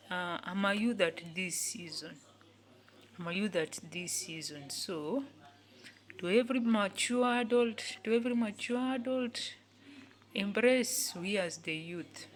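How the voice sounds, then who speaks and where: A young woman in her twenties speaks slowly and earnestly, saying each phrase again and again as if pleading with her listeners. Her voice sits in a medium range, and now and then it sinks to a hushed whisper. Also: medium pitch. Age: 20s. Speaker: youth speaker. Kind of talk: repetitive motivational talk.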